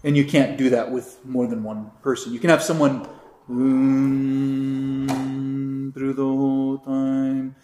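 Chatty priest in an echoey room. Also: male voice, on the high side, mellow. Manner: rambling, many fillers and restarts, sings brief chant examples. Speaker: priest teaching a catechism class. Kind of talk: casual classroom teaching. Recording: roomy echo.

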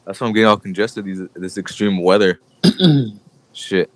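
A man's voice: lowish voice, measured pace. A man co-hosting a podcast in casual chat, congested and laughing often as he jokes around. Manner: laughs often, loose and joking. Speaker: podcast co-host.

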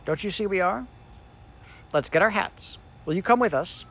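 A man's voice: sits high, animated, normal speed, a bit bright, breathy edge, voice pushed up, heavily acted. A man voicing an earnest young girl in an audiobook, speaking in a pleading, eager way.

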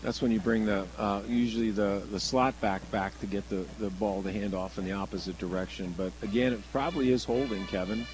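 A man giving conversational color commentary on live radio football, explaining plays in a halting way and often restarting his phrases. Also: fairly low pitch, fairly even intonation, a little mellow, faint music behind, low-quality recording.

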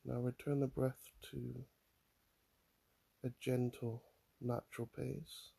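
Very gravelly, slow man guiding meditation. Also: mid-range voice. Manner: calm, soothing, with long pauses. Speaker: mindfulness guide. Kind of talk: guided meditation, body scan.

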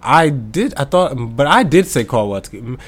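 A man's voice: mid-range voice, big pitch swings, moderate pace. A man co-hosting a podcast, chatting casually in a loose, profane and joking way. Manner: loose, profane, laughing banter. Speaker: podcast co-host. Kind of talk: casual chat. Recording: loud music playing behind.

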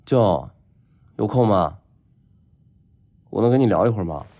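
An actor playing a spoiled, dramatic, lovelorn heir of about thirty in a modern audio drama, with a whiny, theatrical delivery. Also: male voice, lowish voice, animated, measured pace, mellow. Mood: dejected, wistful.

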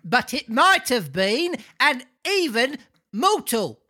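A woman voicing a chatty, high-energy old man in a storybook, with a campy, stop-and-start delivery. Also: big pitch swings, takes it slowly, bright, forceful tone, heavily acted. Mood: gossipy.

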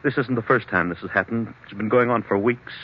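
A man's voice: lowish voice, a little fast, slightly rough. An actor playing an anxious, troubled businessman in a 1940s radio drama, speaking hesitantly and earnestly on a dull old recording. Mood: despairing, afraid. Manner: hesitant, earnest, agitated when challenged. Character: troubled, anxious businessman suffering blackouts, confiding in a doctor.

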